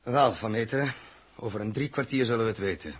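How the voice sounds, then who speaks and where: A Dutch actor playing a sober, sceptical spaceship crewman in a 1960s radio drama, with a slightly husky voice. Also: fairly low pitch, fast talker. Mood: sceptical, tense. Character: sober, sceptical male spaceship crewman facing a risky task.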